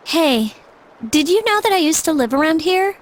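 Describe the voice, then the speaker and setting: A woman's voice: extremely high pitch, lively intonation, moderate pace, a little hiss or hum. A young American woman voicing an earnest, resolute teenage schoolgirl in an anime game. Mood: earnest, wistful. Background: music.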